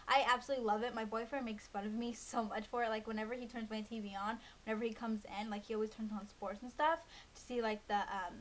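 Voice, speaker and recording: A woman's voice: fairly high pitch, fairly bright tone, poor audio. A woman in her early twenties chatting casually to camera, rambling with lots of ums and likes. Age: 20s. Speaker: beauty vlogger. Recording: faint hiss.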